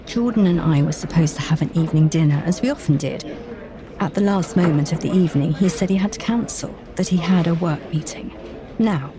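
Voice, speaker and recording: A woman's voice: deep voice, natural voice. A woman narrator voicing an earnest, guarded young professional woman in a romance audiobook drama. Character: Mia, tentative, principled young professional woman.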